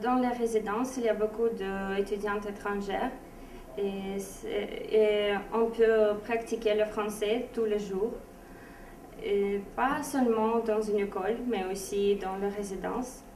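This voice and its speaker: Young woman, halting second-language testimonial, slow pace, distant mic. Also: mid-range voice, fairly even intonation, darkish tone. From Brazil. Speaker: language student. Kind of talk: spoken testimonial. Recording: roomy, distant mic, faint noise.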